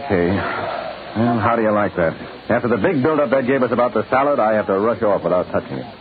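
An actor playing a breezy, wisecracking, genial detective in a 1940s radio drama. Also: male voice, on the low side, animated, a little fast, noisy background, natural voice. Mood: wry, businesslike. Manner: jokey, mock-courteous. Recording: tinny old radio recording, crowd murmur.

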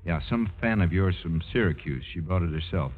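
An actor playing a wry, world-weary husband in a 1950s radio drama. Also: male voice, deep voice, animated, fairly quick. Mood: casual, brisk. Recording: muffled vintage radio broadcast, music behind.

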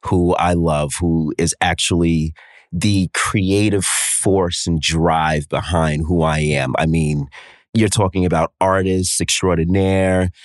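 A man's voice: deep voice, dry recording, studio quality. A man in his thirties from New York with a New York accent, co-hosting a casual chat with playful, theatrical emphasis. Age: 30s. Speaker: co-host, stylist.

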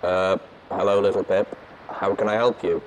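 A man voicing a shy, hesitant character who talks haltingly through a tinny robot speaker in a story. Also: low voice, level tone, a little hiss or hum. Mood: hesitant, polite. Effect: tinny artificial robot speaker.

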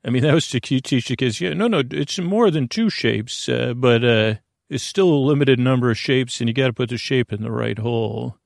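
A man with a gravelly voice hosts a sleep podcast, talking in a halting, meandering, whimsical way that keeps correcting itself. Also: medium pitch, no room sound, clean studio sound. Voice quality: creaky, gravelly. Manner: meandering, halting, digressive, with trailing self-corrections; whimsical, mildly absurd, apologetic. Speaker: podcast host. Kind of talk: rambling sleep podcast monologue.

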